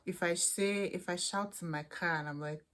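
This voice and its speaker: Low-voiced Nigerian woman chatting. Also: steady tone, normal speed, a bit bright. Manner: chatty, candid, with frequent restarts. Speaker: international student vlogger. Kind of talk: casual chat answering questions to camera.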